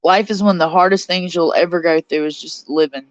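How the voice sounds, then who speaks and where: Woman in her forties, lowish smooth voice, stuffy sinuses, chatty live-stream host, close dry mic, slightly dull sound. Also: steady tone, brisk, no room sound. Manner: chatty, reads out viewer comments. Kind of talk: casual live stream chat. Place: cold bedroom under a blanket.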